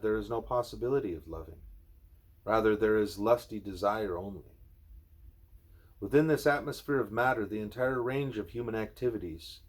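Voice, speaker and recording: A man reading a spiritual letter aloud at home, in a measured, earnest voice with deliberate pauses. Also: fairly low pitch. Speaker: reader of a spiritual book.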